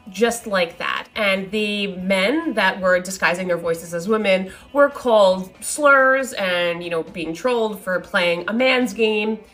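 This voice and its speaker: A woman's voice: mid-range voice, slow pace, hardly any pauses, a bit bright, soft background music. A woman presenting conversational commentary, with wry asides and rhetorical questions.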